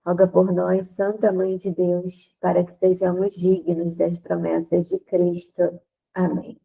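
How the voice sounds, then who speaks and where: A congested woman speaks with a stuffy, nasal voice, gravelly and dark in tone. She talks at an unhurried pace, gently and deliberately, as she leads a women's faith group. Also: fairly low pitch, completely flat delivery, low-quality recording. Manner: gentle, deliberate, repeats phrases while searching for words. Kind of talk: prayer then slow spoken teaching.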